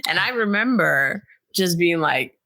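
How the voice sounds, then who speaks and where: A woman's voice: lowish voice, animated, a little slow, creaky, pushed and bright, dry recording. A woman co-hosting a podcast, chatting casually. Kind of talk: casual chat.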